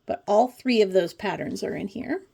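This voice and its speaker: A woman's voice: medium pitch, normal speed, creaky, dark tone, breathy edge. Casting: woman in her forties, mid-range voice, informal craft host with a chatty, dryly humorous delivery. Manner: chatty, wry asides. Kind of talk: casual commentary on a pattern book flip-through.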